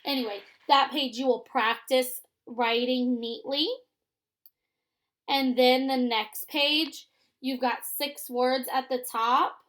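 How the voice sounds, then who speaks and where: A woman's voice: high-pitched, steady tone, takes it slowly, fairly bright tone. A woman teaching young children in a read-aloud lesson, giving simple instructions and checking in as she goes. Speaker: elementary school teacher.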